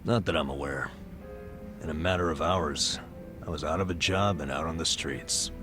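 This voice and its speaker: A man's voice: extremely low pitch, moderate pace. An actor playing a burned-out, middle-aged former nurse in a video game, telling his story with an American accent and a wry, self-deprecating weariness. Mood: resigned. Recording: music behind the voice.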